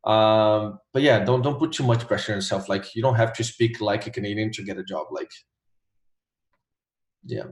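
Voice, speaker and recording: Low-voiced young Brazilian man, echoey room. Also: normal speed. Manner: relaxed, hesitant, many fillers. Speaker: guest, former test taker. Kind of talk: casual interview answers.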